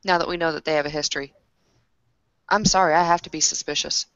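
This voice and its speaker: Gravelly, blunt woman roleplaying. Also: sits low, fairly even intonation, fast, a bit bright, no echo. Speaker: tabletop roleplaying player. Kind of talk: casual in-character roleplay. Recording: dry but dull, lo-fi microphone.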